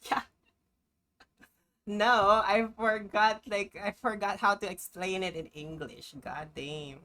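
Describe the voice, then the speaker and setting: A woman's voice: medium pitch, fairly even intonation, slow, pushed and bright. A young woman from the Philippines, chatting casually on a live stream, English not her first language, rambling with many ums and little laughs. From Philippines, Benguet region.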